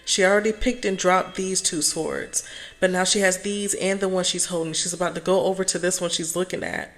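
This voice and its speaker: A hoarse woman speaking calmly and softly as she gives a casual tarot reading. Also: lowish voice, brisk. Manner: calm, soft-spoken, chatty. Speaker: tarot reader.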